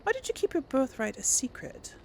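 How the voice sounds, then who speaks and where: A woman's voice: high voice, sing-song, brisk, vocal fry, a little hiss or hum. A woman hosting a let's play, giving chatty, informal gameplay commentary and casually role-playing her replies.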